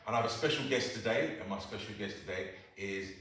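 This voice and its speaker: A middle-aged man hosting a school interview show, asking questions in a halting way with many repeated words, seated in a classroom. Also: on the low side, a bit bright. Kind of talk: casual interview. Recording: echoey classroom, far from mic.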